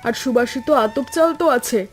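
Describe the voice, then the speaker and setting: A farmer's wife in a village cartoon, played by a woman. She is capable and nurturing, with a fairly high voice over music. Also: normal speed, lightly acted. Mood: reassuring. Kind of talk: scripted cartoon dialogue.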